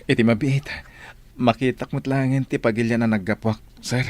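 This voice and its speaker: A man's voice: medium pitch, steady tone, normal speed, slight background noise, lightly acted. An actor playing an eager, tender man preparing for a journey in an Ilocano radio drama.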